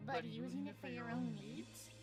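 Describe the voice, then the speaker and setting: Pint-sized game villain: taunting, insinuating nightmare creature, very high voice over loud music female actor. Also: fairly even intonation, normal speed, close to an everyday voice. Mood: sly, insinuating. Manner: mocking, insinuating. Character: small taunting nightmare spirit, sly and malicious. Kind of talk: animated game fandub villain acting.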